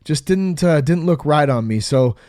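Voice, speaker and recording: A man's voice: on the high side, lively intonation, moderate pace, dark tone, no room sound. Casting: stuffy-nosed man in his thirties, easygoing podcast host, dry close sound. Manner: laid-back, rambling with fillers. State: congested, sick. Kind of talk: casual podcast chat.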